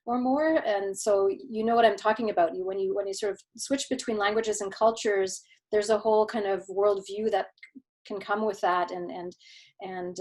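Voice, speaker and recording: Middle-aged woman, thoughtful and halting. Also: mid-range voice, a little mellow. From Canada, Quebec. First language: German. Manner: thoughtful, many false starts and filler words. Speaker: guest expert. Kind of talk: conversational interview answers.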